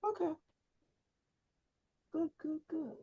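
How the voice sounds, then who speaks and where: A woman's voice: high voice, level tone, slow pace, mellow, highs cut off. Woman coaching a student over video call. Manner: coaching, checks understanding with frequent "right?". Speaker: public speaking instructor. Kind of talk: one-on-one feedback on a video call.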